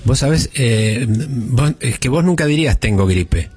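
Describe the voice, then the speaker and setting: A man's voice: medium pitch, a little slow, breathy edge. A middle-aged man with an Argentine accent, a doctor patiently explaining medical matters on a talk show. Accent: Argentine Spanish. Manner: calm, didactic, explains at length. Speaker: doctor guest. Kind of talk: explanatory radio chat.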